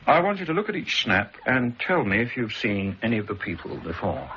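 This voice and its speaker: An actor playing a calm, methodical British intelligence officer in a 1960s radio drama, with a crisp, measured delivery. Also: male voice, fairly low pitch, exaggerated voice. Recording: dull old broadcast recording with faint hiss.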